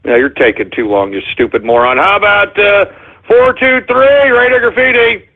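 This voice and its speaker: Brash high-voiced man ranting on air. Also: moderate pace. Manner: brash, insulting, excitable, yells often. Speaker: call-in show host. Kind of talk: loud ranting call-in banter. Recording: tinny lo-fi stream audio.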